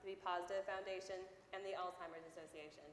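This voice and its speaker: A woman's voice: fairly low pitch, steady tone, quick pace. Young woman reciting in echoey hall. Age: young adult. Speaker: student performer in a readers theater. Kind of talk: scripted stage recitation. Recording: echoey noisy hall, distant stage sound.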